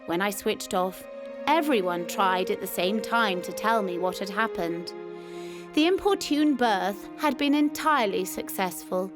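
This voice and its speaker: A woman's voice: medium pitch, measured pace. A woman reading a scripted first-person wartime memoir as a narrator. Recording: music behind the voice. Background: music.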